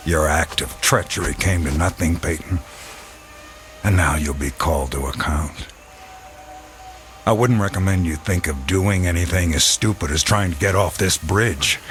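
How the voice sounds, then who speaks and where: An actor playing a coolly taunting army officer, deliberate and mock-polite, in a radio drama. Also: male voice, low voice, a bit bright, a little hiss or hum.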